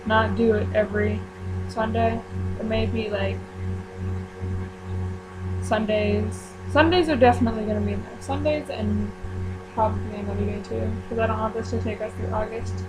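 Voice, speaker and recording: A woman's voice: a little high, steady tone, normal speed, pauses often, over loud music, rough recording. A young woman vlogger talking casually, rambling through her plans with many fillers. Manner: rambling, filler-heavy planning talk. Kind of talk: casual chat. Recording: mains hum behind voice.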